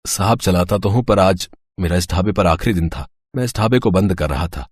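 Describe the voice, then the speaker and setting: A man's voice: sits low, normal speed, slightly rough, no room sound. Poor, kind-hearted eatery owner for a cartoon moral tale, male actor; earnest, plaintive, dry recording. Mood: humble, kind. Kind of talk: dramatised moral story acting.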